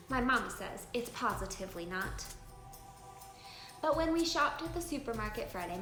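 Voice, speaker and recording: High-voiced woman reading aloud in echoey room. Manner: dramatic, animated delivery with emphatic stresses and hushed moments. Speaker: children's book reader and channel host. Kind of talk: expressive children's read-aloud storytelling. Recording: roomy echo with clear music behind. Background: music.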